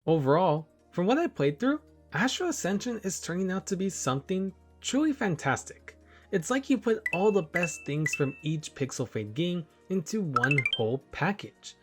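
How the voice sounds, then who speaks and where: A man's voice: high-pitched, sing-song, normal speed. A young man giving enthusiastic review commentary as a video game reviewer. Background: background music.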